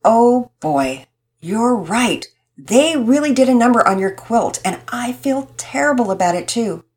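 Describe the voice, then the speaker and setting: A patient, gentle mother's voice, lifted a little higher than plain speech, as a woman puts her on. She speaks calmly and explains things with a soft, teaching tone. It is a storybook voice inside a read-aloud tale. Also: a little slow. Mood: concerned. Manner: calm, warm, teaching tone.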